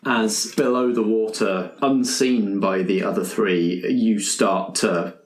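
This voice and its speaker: Low-voiced man narrating, echoey room. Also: steady tone, soft background music. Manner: second-person scene-setting in halting phrases. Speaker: game master. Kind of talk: improvised narration of a tabletop game.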